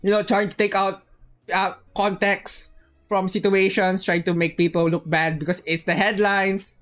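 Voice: mid-range voice, level tone, moderate pace, a bit bright. A livestreamer giving casual, slangy, teasing game commentary to chat, with some swearing. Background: game music.

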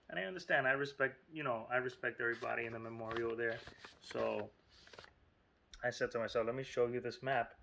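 Guy talking casually to his viewers, lots of "you know" and "look", normal middle pitch. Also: fairly bright tone, a little hiss or hum. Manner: chatty and informal, lots of fillers and asides. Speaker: vlogger. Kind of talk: casual vlog narration.

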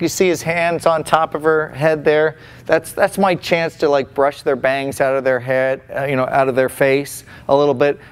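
A man's voice: a little high, clean tone. A man in his forties giving a casual, folksy face painting demonstration with many restarts, indoors in a small room.

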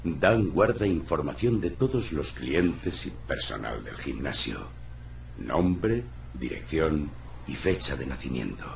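A man voicing a gruff, weary cat private detective in a video game, with a deep gravelly voice, a terse, dry delivery. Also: very animated, takes it slowly, a little hiss or hum, exaggerated voice. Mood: businesslike. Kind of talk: video game dialogue acting. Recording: roomy echo, faint music behind.

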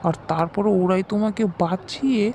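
A woman's voice: mid-range voice, moderate pace, a little mellow, a little hiss or hum, lightly acted. A woman voicing a scheming, hot-tempered village man in a cartoon, blustering and sly. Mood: falsely reassuring.

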